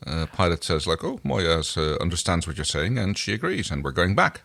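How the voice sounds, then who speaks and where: A man's voice: low-pitched, big pitch swings, a little fast, gravelly, fairly bright tone, dry recording. A man co-hosting a casual episode recap, thinking aloud in a hesitant way. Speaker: podcast co-host.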